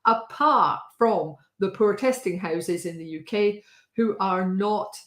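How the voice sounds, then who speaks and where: A woman hosting a casual talk with a wry, chatty manner and a slightly hoarse voice, often pausing with fillers. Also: mid-range voice, a bit bright, roomy sound. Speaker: host. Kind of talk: casual live-stream talk.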